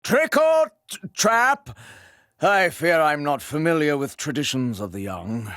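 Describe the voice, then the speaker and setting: A courteous, old-fashioned man from a fantasy game. He speaks formally in a fairly high male voice with lively pitch movement and a dry sound. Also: measured pace, no echo, full character voice. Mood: puzzled.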